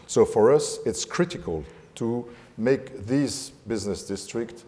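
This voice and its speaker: A man with a French accent speaking on a panel, often pausing and searching for English words. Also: mid-range voice, dark tone. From France. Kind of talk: panel discussion. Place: conference hall. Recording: reverberant conference hall.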